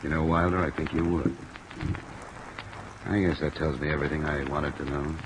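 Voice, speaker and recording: An actor playing a tough, cool-headed insurance investigator, clipped and matter-of-fact, in an old-time radio drama. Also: male voice, very deep voice, steady tone, normal speed, heavy background noise. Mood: accusing, firm. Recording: old radio recording under light rain.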